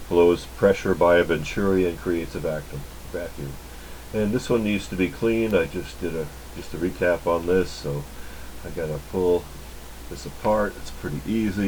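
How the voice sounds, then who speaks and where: A man giving a casual hands-on workbench demonstration, unhurried and half muttering to himself as he works. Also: sits low, a little mellow, slight background noise, rough recording. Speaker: electronics repair hobbyist, channel host. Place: indoor electronics workbench.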